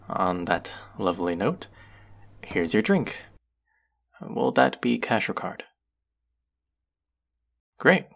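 Casting: male voice actor as a playful young barista, low gravelly voice, lively teasing delivery. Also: very slow, long pauses, exaggerated voice. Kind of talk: audio roleplay for a listener.

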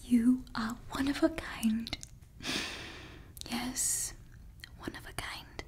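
A young woman voices a female evil scientist who dotes on her creation in close, breathy whispers. She speaks slowly and keeps her voice light and high. Every tender word carries a possessive edge. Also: fairly even intonation, a little mellow. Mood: doting whisper. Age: young adult. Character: doting yet menacing female evil scientist. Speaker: ASMR creator. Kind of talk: ASMR roleplay. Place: indoors. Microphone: close studio mic.

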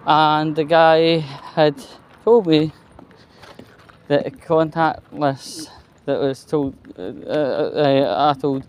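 Slow-talking, grumbling man outdoors. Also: fairly high pitch. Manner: rambling, sweary, weary grumbling. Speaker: vlogger. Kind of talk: casual walking vlog monologue. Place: walking outdoors along a residential street. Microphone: handheld phone. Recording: outdoor phone recording with street noise. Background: street ambience, passing vehicles.

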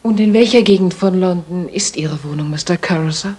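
A woman's voice: on the low side, normal speed, darkish tone, husky. An actress playing a worried young lady music teacher in a German radio play, speaking politely, earnestly and formally. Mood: polite, reserved.

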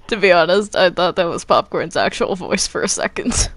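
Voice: medium pitch, expressive, moderate pace, fairly bright tone. A gamer chatting casually and playfully during gameplay, often giggly and teasing.

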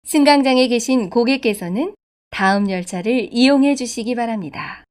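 A woman reading scripted subway station announcements in a formal, polite, measured way. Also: on the high side, darkish tone. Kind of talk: scripted public transit announcement.

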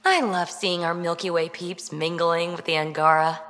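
A woman's voice: on the low side, fairly even intonation, normal speed, a bit bright, big-room sound, close to an everyday voice. Woman as a breezy, playful young alien adventurer. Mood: upbeat, teasing. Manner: breezy, teasing, informal. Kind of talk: video game dialogue.

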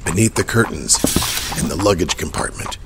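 A man's voice: sits low, normal speed, heavy background noise. A man narrating a horror audio drama in a dramatic, scripted storytelling style. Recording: clear horse and carriage ambience behind.

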